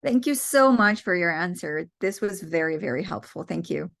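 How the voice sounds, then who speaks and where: A woman's voice: on the low side, animated, normal speed, creaky. Hesitant woman, Romanian native. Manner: hesitant, searching for words. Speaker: training participant. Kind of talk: asking questions on a call.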